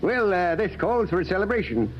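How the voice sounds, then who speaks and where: A man's voice: high voice, big pitch swings, fairly quick, slight background noise. A man acting as a jovial, folksy elderly father in an old-time radio drama. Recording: dull old radio recording, faint music.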